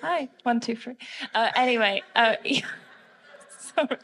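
A woman's voice: a little high, takes it slowly, a bit bright, poor audio. A woman from the Czech Republic with a Czech accent, nervously giving a testimony in church on a handheld mic, hesitant and giggly with many fillers. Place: church service on stage. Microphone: handheld microphone.

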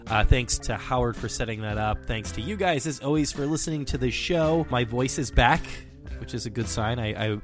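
A man's voice: mid-range voice, normal speed, few pauses. A male podcast host wrapping up an episode alone, chatty and enthusiastic, often repeating words as he talks. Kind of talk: solo podcast outro. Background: music playing.

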